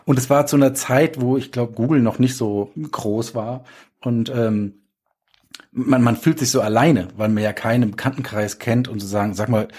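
A man's voice: medium pitch, moderate pace, darkish tone, husky. A man casually telling personal stories as a guest author, correcting himself as he goes. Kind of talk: casual anecdotal storytelling.

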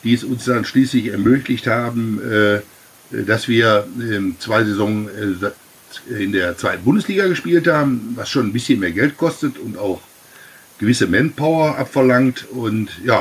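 A man's voice: lowish voice, expressive, normal speed, breathy edge. A middle-aged man, a rugby club president, telling his story with many fillers in long winding sentences. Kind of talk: casual interview answers.

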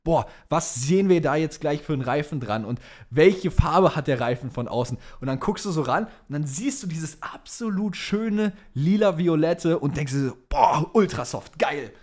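A man's voice: fairly high pitch, expressive, moderate pace, few pauses, darkish tone. A young man co-hosting a podcast, chatting casually and enthusiastically in colloquial, slangy language like a keen fan. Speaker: podcast co-host. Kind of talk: casual fan chat about motorsport.

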